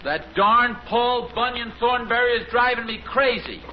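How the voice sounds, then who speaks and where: Animated fumbling American dad, old radio. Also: male voice, extremely high pitch, normal speed. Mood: exasperated. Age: middle-aged. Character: fumbling, boastful suburban dad, proud amateur handyman. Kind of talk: 1950s radio sitcom acting. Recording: noisy, muffled 1950s radio recording.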